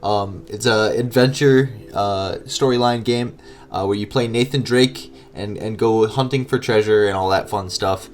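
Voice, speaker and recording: A man's voice: fairly low pitch, a little slow, a little hiss or hum. Nasal, congested man hosting a podcast. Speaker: podcast co-host. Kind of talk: casual podcast chat.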